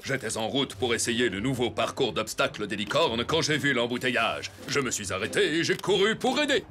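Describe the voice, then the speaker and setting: An actor playing a pompous, earnest superhero toy in a children's cartoon, with grand declamatory delivery. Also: male voice, mid-range voice, big pitch swings, a little fast, bright, forceful tone. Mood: earnest, puzzled. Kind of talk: animated children's cartoon acting. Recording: music behind the voice.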